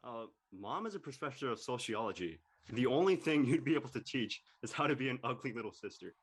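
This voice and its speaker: A man's voice: mid-range voice, animated, fast, breathy edge. A man playing an exasperated, snarky teenage son in an audio drama.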